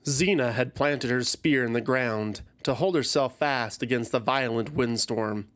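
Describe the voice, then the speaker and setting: A man reading scripted fantasy fiction as a narrator, in a solemn, deliberate and dramatic way. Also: mid-range voice, fairly bright tone.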